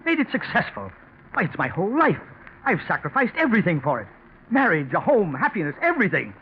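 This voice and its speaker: An actor playing an aging, cheated company president, wounded and bitter, in a 1940s radio drama. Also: male voice, high-pitched, huge pitch swings, brisk, exaggerated voice. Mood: wounded, pleading. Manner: wounded, pleading turning to menacing. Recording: dull, noisy 1940s radio recording.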